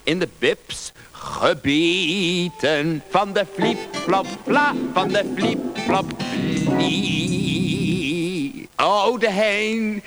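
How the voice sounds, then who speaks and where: A man playing a whimsical balladeer, reciting nonsense verse in a drawn-out sing-song. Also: high-pitched, exaggerated voice. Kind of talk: comic recitation over music. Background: loud music.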